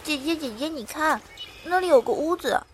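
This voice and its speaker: A woman's voice: high-pitched, animated, moderate pace. A woman playing a shy, obedient small boy in a Chinese costume radio drama, childlike and polite. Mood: eager. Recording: faint background noise, outdoor ambience.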